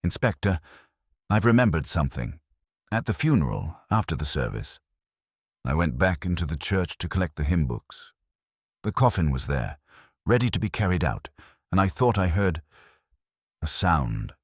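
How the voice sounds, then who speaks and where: An anxious vicar's wife, read by a male narrator confides in a very low voice. She sounds hesitant and uneasy, in a dry mystery-story recording. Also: very animated, measured pace, long pauses, husky, no room sound, full character voice.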